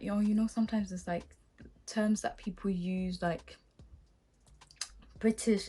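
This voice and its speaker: A young woman from Ghana with a Ghanaian accent, casually telling a story to her vlog viewers and acting out her own reactions. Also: mid-range voice. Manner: animated, acts out her own past reactions with laughs. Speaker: vlogger.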